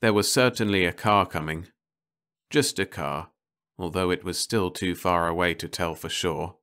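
A man's voice: low voice, normal speed, a bit bright, clean tone. A man narrating a short story as a scripted audiobook reading.

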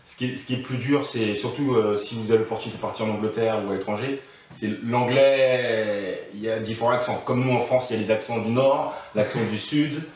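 A man's voice: mid-range voice, fairly even intonation, fairly quick, darkish tone. Guy in his 30s chatting advice on a couch, lots of restarts, roomy echo. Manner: thoughtful, many restarts and fillers. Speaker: interview guest. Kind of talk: casual advice interview. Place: living room, on a couch. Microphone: far camera mic. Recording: echoey room, from a distance.

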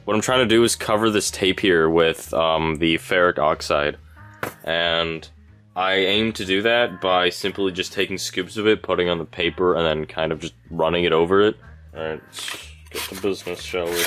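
A young man with a deep, low-pitched voice narrates a hands-on DIY build. His delivery is casual and explanatory, with an understated, dry sense of humor. Some music can be heard faintly behind him. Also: steady tone, normal speed, fairly bright tone. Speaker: DIY electronics video maker.

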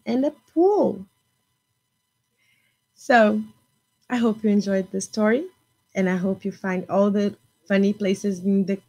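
A woman in her thirties hosting a children's storytime, reading expressively in simple, deliberate phrases. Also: mid-range voice, dark tone, slightly rough.

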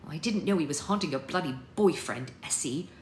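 A woman's voice: sits low, lively intonation, fairly quick. A woman voicing a haughty, cool-headed mother with a clipped, dismissive, snappish manner. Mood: shaken. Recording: roomy, faint background noise.

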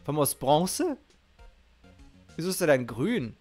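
A man's voice: fairly high pitch, sing-song, a little slow, a little mellow. A middle-aged man giving casual game commentary on a headset, musing incredulously as he goes. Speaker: streamer. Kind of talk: casual game commentary, reading dialogue aloud. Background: game music.

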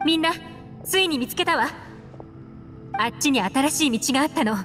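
An actress voicing a confident, poised adult female agent in a game, with a smooth, assured way of talking. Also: high voice, expressive, moderate pace. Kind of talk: game voice acting. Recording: roomy game audio with music.

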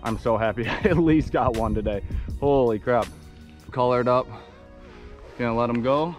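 Excited young man fishing a creek. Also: medium pitch, normal speed, over music. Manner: excitable, exclaiming, talking to viewers. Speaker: fishing vlogger. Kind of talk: excited outdoor fishing commentary. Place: small wooded creek outdoors. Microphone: action camera.

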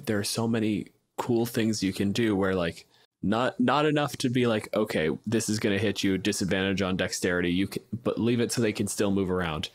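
A man hosting a podcast, talking casually and bluntly in informal gamer chat. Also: lowish voice. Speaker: podcast host. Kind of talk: casual discussion of tabletop gaming.

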